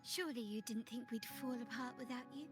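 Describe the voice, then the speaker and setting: A woman's voice: a little high, fairly quick, lightly acted. An actress voicing a proud noblewoman of a powerful family, poised and confident. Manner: poised, confident, faintly haughty. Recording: game audio with music behind.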